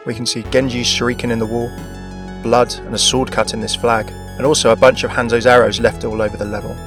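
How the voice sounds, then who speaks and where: A man's voice: mid-range voice, level tone, brisk. A man presenting a gaming video in a scripted narration style. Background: background music.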